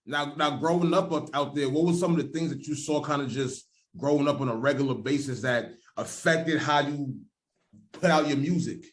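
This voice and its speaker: A man's voice: on the high side, normal speed. A man hosts a casual interview. He asks questions conversationally, in informal slang. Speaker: podcast interviewer.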